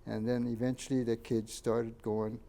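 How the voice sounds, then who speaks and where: Older man with a gravelly voice. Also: mid-range voice, little pitch movement, warm tone. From Canada. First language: Japanese. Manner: halting, many fillers and restarts, pointing out photos. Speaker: interviewee. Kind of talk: oral history recollection.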